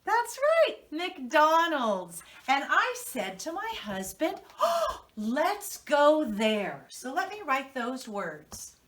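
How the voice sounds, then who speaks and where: A woman's voice: high voice, huge pitch swings, extremely slow, few pauses, a bit bright, far from the mic. A woman with an American accent teaching young children to read, telling a story and prompting them with questions. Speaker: preschool teacher.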